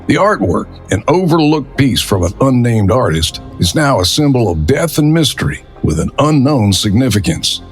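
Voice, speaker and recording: A man's voice: medium pitch, very animated, moderate pace, few pauses, soft background music. A man narrating a detective audio drama in a brooding, dramatic storytelling manner. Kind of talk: scripted noir narration.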